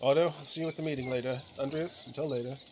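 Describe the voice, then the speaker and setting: A man's voice: medium pitch, fairly even intonation, rapid-fire, noisy background, natural voice. A man voicing a brusque, wry young village man from a game, offhand and dry. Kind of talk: reading game dialogue aloud.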